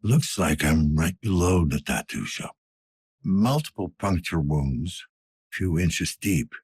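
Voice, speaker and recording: A man playing a weary, gruff older police detective in a cyberpunk video game, speaking tersely in a low-key, world-weary way. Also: animated, slow, a little mellow, dry recording, studio quality, full character voice. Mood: grim, clinical. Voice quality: husky, gravelly.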